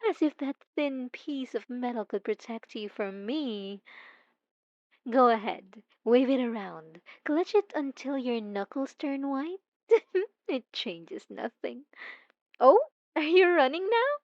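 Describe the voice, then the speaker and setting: A woman's voice: sits high, animated, takes it slowly, dry recording, lo-fi sound, heavily acted. A woman voicing a possessive, obsessive female stalker in an intimate ASMR roleplay, coy and mocking, cooing with teasing menace. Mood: taunting, menacing.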